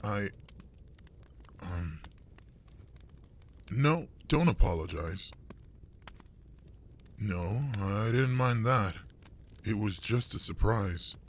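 A man playing a gruff older male monster adventurer in an intimate audio roleplay, fond and teasing with a human partner. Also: lowish voice, animated, extremely slow, pauses often, slight background noise, exaggerated voice. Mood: flustered, warm.

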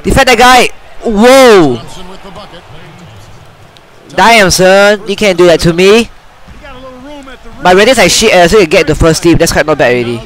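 A young man with a high, excitable voice yells, laughs and trash-talks his way through a basketball video game. He talks close into a headset mic in a small room, jumping between chatter and sudden shouts. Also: a little slow, long pauses, low-quality recording. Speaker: gamer. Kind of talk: excited gameplay commentary.

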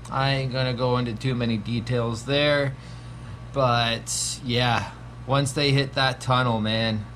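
A man's voice: mid-range voice, a bit bright, a little hiss or hum. A man in his thirties giving a casual, profanity-laced horror movie review from a small home room, with lots of ums. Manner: laid-back, swears constantly, frequent ums.

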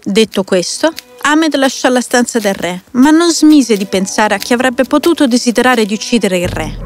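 A woman's voice: a little high, lively intonation, moderate pace. A woman narrating a scripted fairy tale for children as a storyteller. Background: faint music.

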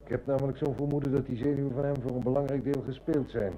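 An actor playing a shrewd, determined police inspector in a radio drama, matter-of-fact and commanding. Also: male voice, medium pitch, fairly even intonation, fast, warm tone, lo-fi sound, soft background music, close to an everyday voice. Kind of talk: Dutch radio drama acting. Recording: noisy old radio recording with hum.

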